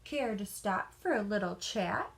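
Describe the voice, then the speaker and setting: A woman voicing a sly, greedy male wolf from a children's storybook, smooth-talking and falsely courteous. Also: medium pitch, animated, measured pace. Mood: charming, coaxing.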